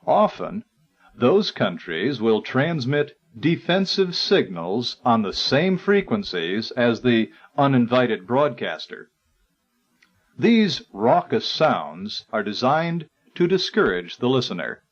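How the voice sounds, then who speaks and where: Male narrator for an instructional guide: formal, unhurried, widely varied intonation, dull 1980s tape sound. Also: medium pitch, big pitch swings. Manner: formal, measured, articulate delivery. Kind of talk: scripted educational narration.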